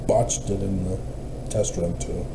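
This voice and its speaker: A man's voice: low-pitched, fairly even intonation, a little slow. A man giving a rambling solo monologue in the manner of a self-styled prophet, meandering and often trailing off mid-thought. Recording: roomy echo, clear background noise.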